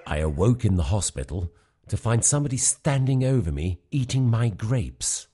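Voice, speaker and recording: An actor with an English accent playing a weary, exasperated male spy in a comic radio play, expressive in narration and dialogue. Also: on the low side, moderate pace, very noisy. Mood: narrating, dramatic. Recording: music behind the voice.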